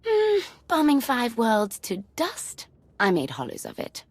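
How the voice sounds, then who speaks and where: An actress playing a sardonic, mocking villainess in a video game, with playful menace and sarcasm. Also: female voice, on the high side, wildly swooping pitch, a little slow, exaggerated voice. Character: sardonic, mocking villainess taunting a ruthless ruler.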